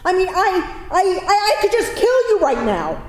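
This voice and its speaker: A woman in a staged play reading, playing a sharp-tongued, sarcastic and exasperated young girlfriend in an echoey hall. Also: very high voice, wildly swooping pitch, a little slow, heavily acted. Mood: furious. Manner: sarcastic, profane, incredulous. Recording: echoey theatre hall, some background noise.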